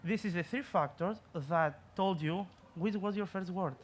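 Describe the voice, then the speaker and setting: A man in his twenties from Greece, speaking English with a Greek accent, giving an earnest science talk in a competition, with halting phrasing and frequent restarts. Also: high-pitched, a little hiss or hum. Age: 20s. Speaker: science communication contestant.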